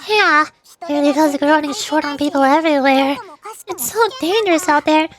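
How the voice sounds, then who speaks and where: A woman's voice: high voice, brisk, fairly bright tone. A woman voicing a small, chirpy, anxious fairy companion in an anime fantasy game. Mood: worried.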